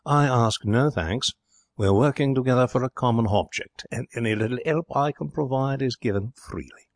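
A man's voice: medium pitch, normal speed, no room sound, pitched above the performer's own voice, lightly acted. Guy reading as a courtly old butler, unflappable and sly, voice lifted up a bit, storybook style, dry sound. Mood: indulgent, deferential. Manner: courtly, indulgent, formal and deferential. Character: stately old bald butler, scheming, deferential, unflappable.

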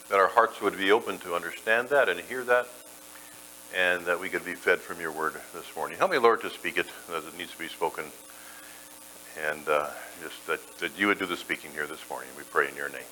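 A man's voice: low voice, sharp tone, a little hiss or hum, poor audio. A man preaching a sermon in a church, folksy and self-deprecating as he mixes jokes with scripture. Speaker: pastor.

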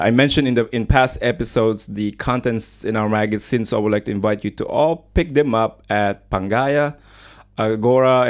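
A man hosting a live student radio show in a studio, chatting casually with many small hesitations. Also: lowish voice. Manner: chatty, hesitant with frequent fillers. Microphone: studio microphone.

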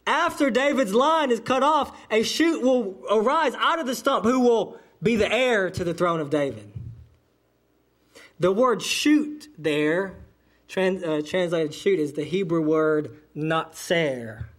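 A man's voice: high-pitched, animated, takes it slowly, fairly bright tone. A man, preaching a sermon as pastor and explaining scripture with frequent self-corrections. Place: church sanctuary.

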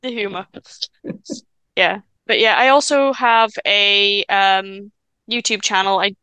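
Welsh-speaking woman, 20s, stuttering guest chatting; bright, clean, dry close sound. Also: medium pitch, fairly even intonation, smooth, no room sound, low-quality recording. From Wales. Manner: stammers and restarts, stutter; chatty, quick asides and laughs. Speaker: podcast guest. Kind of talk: casual chat.

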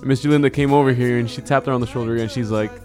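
A young man chatting as a guest on a student podcast, telling lively stories and acting out quotes. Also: medium pitch, rapid-fire, faint music behind. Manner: lively storyteller, acts out quotes. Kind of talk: casual podcast chat.

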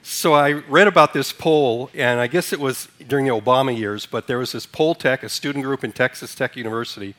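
A man's voice: medium pitch, brisk, few pauses, fairly bright tone. A man from Sudan preaching a sermon to a congregation, talking casually, putting questions to them and then leading a responsive reading line by line. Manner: conversational, asks the congregation questions. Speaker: pastor.

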